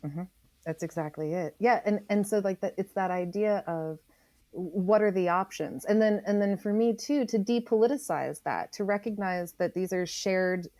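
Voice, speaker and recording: Low-voiced woman, earnest and deliberate. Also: darkish tone, dry recording. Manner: earnest, deliberate, emphasizes by repeating phrases. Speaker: interview guest, environmental writer. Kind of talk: reflective interview conversation.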